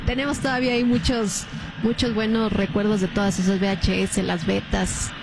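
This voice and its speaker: A woman's voice: medium pitch, flat delivery, creaky, background noise. A woman with a Mexican accent calling a women's football match, trading remarks with her co-commentator. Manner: brisk play-by-play with frequent fillers. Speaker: play-by-play commentator. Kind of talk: live football commentary and studio banter.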